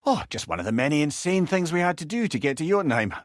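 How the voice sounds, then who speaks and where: Witty old severed head, Scottish, higher-pitched, very animated, eloquent, wry, dry close sound, video game companion. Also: male voice, quick pace, dry recording. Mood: wry, teasing. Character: Mimir, witty talking severed head, old wise counsellor. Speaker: companion character in an action game. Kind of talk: video game character voice lines.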